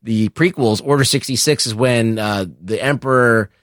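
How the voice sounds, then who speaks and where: A man with a mid-pitched voice in a very dry recording. He explains things casually as a co-host, opinionated and blunt, wandering a bit as he goes. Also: moderate pace, dry recording. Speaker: podcast co-host. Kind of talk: casual explanatory pop culture chat.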